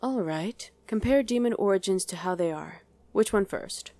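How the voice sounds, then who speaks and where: A woman's voice: medium pitch, lively intonation, moderate pace, mellow, dry recording, close to an everyday voice. A woman voicing a condescending, sardonic female demon commander in a chatty ASMR roleplay. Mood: sardonic, musing. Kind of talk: ASMR roleplay acting addressed to the listener.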